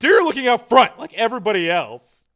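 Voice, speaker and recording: A man voicing a sly, teasing redheaded showgirl, pitched up and bright. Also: sing-song, fairly quick, heavily acted. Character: sultry wisecracking redheaded showgirl, woman.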